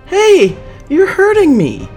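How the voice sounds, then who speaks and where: A man's voice: medium pitch, measured pace, soft background music, natural voice. A man voicing a pouting, defiant young female fighter in a petulant, indignant way for a story. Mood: hurt, crying out.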